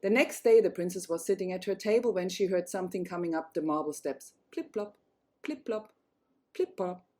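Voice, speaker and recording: Woman, storyteller, slightly low voice, fairy-tale read-aloud narration. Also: expressive, moderate pace, darkish tone.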